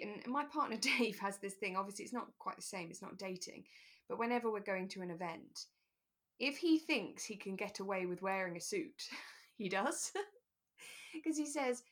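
A woman's voice: medium pitch, expressive, moderate pace. A woman chatting casually as a podcast co-host, often laughing as she talks. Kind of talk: casual chat about a radio drama.

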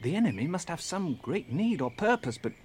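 High, urgent British wizard. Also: male voice, big pitch swings, brisk, darkish tone, a little hiss or hum. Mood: urgent, ominous. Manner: urgent, declamatory. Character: anxious wizard herald, breathless urgency, slightly quaint. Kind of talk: British radio drama acting.

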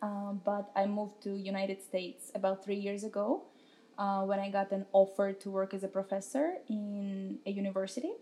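A woman's voice: medium pitch, flat delivery, normal speed, dark tone. Russian-accented woman, podcast guest. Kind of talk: casual personal interview.

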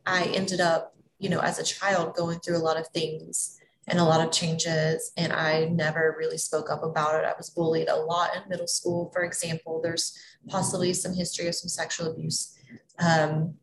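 A woman with a low, gravelly voice hosts a podcast, speaking in a gentle and reflective way. She stretches out her phrases as she thinks aloud. A steady hiss of noise sits under the recording. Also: normal speed. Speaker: podcast host. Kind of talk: warm conversational interview. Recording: noisy room recording.

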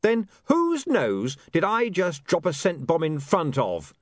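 A man's voice: fairly high pitch, very animated, a little fast, dry recording, studio quality, pitched above the performer's own voice. A man doing an earnest, prim young newspaperman. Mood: insistent.

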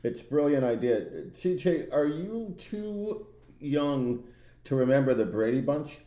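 A man co-hosting a podcast in casual, bantering chat with a loud, animated voice and a roomy, echoey sound. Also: medium pitch, a little slow. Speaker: podcast co-host. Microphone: own podcast microphone.

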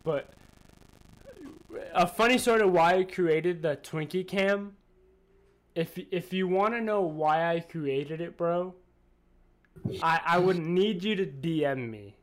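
A man's voice: high voice, takes it slowly, pauses often. A man co-hosting a casual podcast, rambling and swearing freely in loose chat. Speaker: podcast co-host.

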